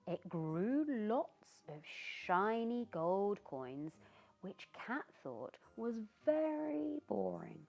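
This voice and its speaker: A British woman reading a children's story aloud in an expressive, theatrical way, with asides to the young listener. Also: medium pitch, drawn-out words, creaky. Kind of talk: animated read-aloud narration for young children. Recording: dull lo-fi sound with background music. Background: music.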